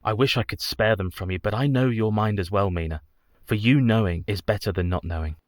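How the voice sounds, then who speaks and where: Deep, haunted young husband played by an actor. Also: male voice, a little fast, no echo, studio quality, lightly acted. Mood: troubled. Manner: solemn, formal. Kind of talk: radio play acting.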